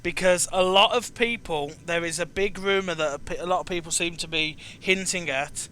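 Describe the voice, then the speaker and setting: A man in his twenties with a fairly high, forceful voice talks casually as an interviewer. His delivery is clean and resonant. He is earnest and tends to restate a thought before finishing it. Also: moderate pace, clean tone. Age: 20s. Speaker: interviewer and show host. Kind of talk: casual chat about video games.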